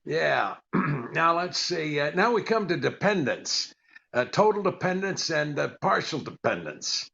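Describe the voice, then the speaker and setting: A man playing a wry, long-suffering straight-man husband in 1940s radio comedy style, gravelly, dry and hesitant. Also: a little high, measured pace, full character voice. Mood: wry, puzzled. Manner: dry, hesitant, deadpan delivery. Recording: dull lo-fi audio.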